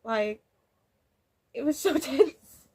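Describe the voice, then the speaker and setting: A young woman with a cold, chatting excitably about her reading in a casual vlog at home. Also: high voice, extremely slow. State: has a cold, snuffly. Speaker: book vlogger. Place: home room under a window, lamp lit.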